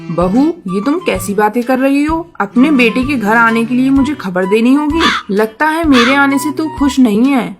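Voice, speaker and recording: A woman's voice: a little high, animated, normal speed, hardly any pauses, music in the background, close to an everyday voice. A woman voicing a demanding, insistent older mother-in-law bird in a cartoon. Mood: reproachful, insistent. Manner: insistent, reproachful. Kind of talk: Hindi children's cartoon dubbing.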